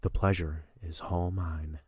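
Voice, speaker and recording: A self-assured royal guard for an audio drama, teasing and flirtatious. His voice is deep and husky, with a dry, close sound. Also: level tone, a little slow, a little mellow, dry recording, heavily acted. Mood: flirty, teasing.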